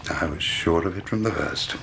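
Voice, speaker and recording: An actor playing a genial, indolent and superior British gentleman sleuth in a period radio drama, urbane and self-assured. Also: low voice, normal speed, creaky, noisy background. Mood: smugly confident.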